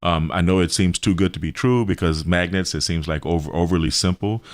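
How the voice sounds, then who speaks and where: Very deep-voiced man, halting delivery. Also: no room sound. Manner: halting, hesitant phrasing with repeated words. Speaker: radio show host and health practitioner. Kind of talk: explanatory radio interview talk.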